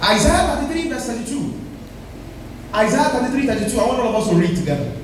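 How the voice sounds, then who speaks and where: A man's voice: very high voice, very animated, quick pace, heavy reverb, far from the mic, noisy background. A male pastor preaching a sermon with emphatic delivery, calling the congregation to respond.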